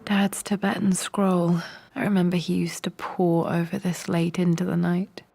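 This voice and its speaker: An actress playing a pensive, quietly awed young adventurous daughter in a video game, murmuring to herself in a hushed voice. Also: female voice, fairly low pitch, little pitch movement, normal speed. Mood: hushed wonder. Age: young adult. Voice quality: creaky, hushed. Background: music.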